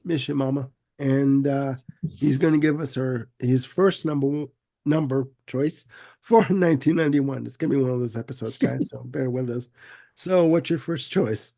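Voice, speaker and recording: A man's voice: medium pitch, moderate pace, creaky, mellow. A man with a head cold hosting a casual music chat, sounding congested, laid-back and given to repeating himself. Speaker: podcast host.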